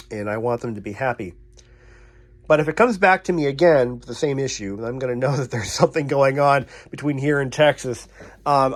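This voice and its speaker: A man working as a repairman and hosting his own vlog, talking casually and thinking aloud as he works. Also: medium pitch. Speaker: radio repairman and video host.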